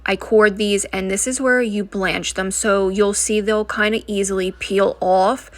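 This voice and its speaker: A woman's voice: mid-range voice, fairly even intonation, measured pace. A young woman chatting casually as she walks through a family recipe step by step, with unsure little asides. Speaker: vlogger. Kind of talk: casual cooking vlog.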